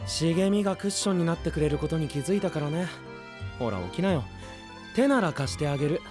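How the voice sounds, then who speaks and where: A man's voice: fairly high pitch, expressive, fast, music in the background, natural voice. A man playing a cool, dry young knight in an anime audio drama, with a wry and offhand way of talking. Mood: dry, teasing. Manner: wry, offhand, matter-of-fact.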